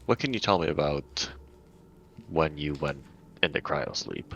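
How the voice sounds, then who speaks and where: Very low male voice, creaky, slow hesitant roleplay, tabletop player, dull recording. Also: lively intonation, fairly bright tone. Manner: hesitant, groping for words. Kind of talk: improvised in-character roleplay dialogue. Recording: dull audio, faint background noise.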